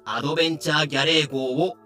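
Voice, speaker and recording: Bright-voiced man narrating comedic audio drama. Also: fairly high pitch, steady tone, quick pace, soft background music. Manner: explanatory with dry sarcastic asides.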